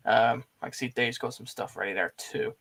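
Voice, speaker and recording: Male host, mid-pitched with heavy vocal fry, casual conversational delivery. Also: fairly even intonation, normal speed, fairly bright tone. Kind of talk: casual chat.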